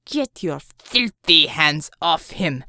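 A woman's voice: sits low, expressive, slow pace, vocal fry, pushed and bright, dry recording, pitched below the performer's own voice, heavily acted. A woman narrator acts out a fierce, swaggering young superheroine with a Russian accent. She is blunt and scornful, her English broken. The intonation moves boldly in a dry, close recording. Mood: threatening.

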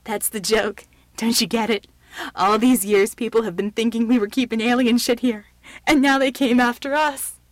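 A woman's voice: on the high side, fairly even intonation, normal speed, dry recording, lightly acted. A woman voicing a shaken, hysterical male soldier in an audiobook story. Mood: bitter hysteria.

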